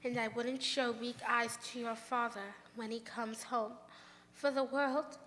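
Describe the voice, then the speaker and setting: A woman's voice: on the high side, steady tone, measured pace, fairly bright tone, slight background noise. An actress playing a careworn, tender mother and wife in mourning, in earnest live radio theater. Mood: weary, wistful. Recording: reverberant hall.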